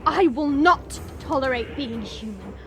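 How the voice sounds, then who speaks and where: A woman's voice: high voice, expressive, a little slow, a little hiss or hum. A woman playing a haughty, short-tempered dragon princess in an audio drama, imperious and mocking. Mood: menacing. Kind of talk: audio roleplay drama acting.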